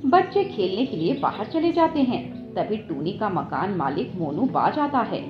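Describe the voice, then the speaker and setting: A woman's voice: mid-range voice, sing-song, unhurried. A woman giving scripted narration for a children's moral story. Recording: echoey, dull audio with background music.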